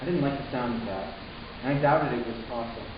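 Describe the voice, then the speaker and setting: A man playing an anguished, defiant young human narrator in a halting, intense dramatic story reading, recorded far off in an echoey room. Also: medium pitch, muffled recording, background noise, natural voice. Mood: insistent.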